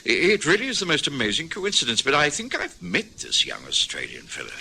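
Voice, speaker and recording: An actor with a British accent playing a courteous, slightly hesitant middle-aged doctor who also narrates, in a vintage radio drama. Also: male voice, on the high side, huge pitch swings, a little fast, gravelly, sharp tone, breathy edge, full character voice. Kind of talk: 1950s-60s radio drama acting.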